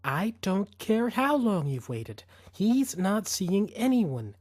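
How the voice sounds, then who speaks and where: A man acts out a tired, irritated woman in audiobook dialogue. She is curt and blunt, with a raised, swooping pitch and an exasperated edge. The recording is dry. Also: sing-song, slow, darkish tone, dry recording, full character voice. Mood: cold, staccato anger. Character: weary, irritated woman guarding her elderly father.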